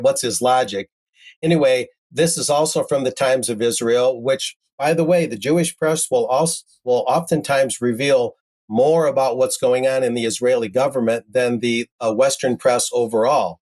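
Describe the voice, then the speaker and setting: A man's voice: medium pitch, darkish tone. A man presenting news commentary, reading articles aloud and adding wry, conversational asides of his own.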